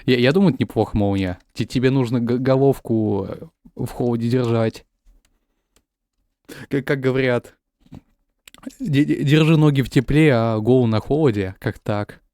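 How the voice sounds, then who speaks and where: A man's voice: medium pitch, moderate pace, pauses often, warm tone, no room sound. A man whose first language is Russian, chatting casually while streaming a game, often stumbling and repeating words. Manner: switches between English and Russian. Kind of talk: casual gaming stream chat.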